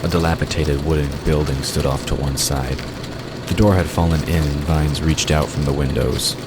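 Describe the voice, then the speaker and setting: Very deep-voiced male narrator. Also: fairly even intonation, normal speed, very noisy. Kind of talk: scripted audio drama narration.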